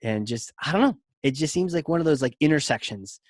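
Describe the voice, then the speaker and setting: A man's voice: on the high side, lively intonation, brisk, a little mellow, no room sound. Man, podcast host, playful rambling talk, dry close sound. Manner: loose, rambling, playful, heavy use of "like". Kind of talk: casual interview chat.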